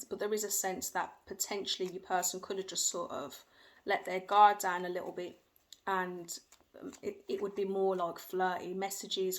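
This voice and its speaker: A woman giving a tarot reading at home, who hedges, repeats herself and goes by what she feels, with her children faintly heard in the background. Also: medium pitch. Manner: hedging, repeats phrases, speaks by feeling. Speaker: tarot reader. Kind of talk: tarot card reading for viewers. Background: faint noise of her young children.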